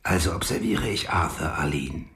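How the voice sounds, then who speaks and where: A man's voice: low voice, moderate pace. An actor playing a middle-aged police detective and doting father, telling stories with dramatic flair in a radio drama. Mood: amused, animated. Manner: animated, dramatic storyteller. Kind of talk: radio drama dialogue and storytelling.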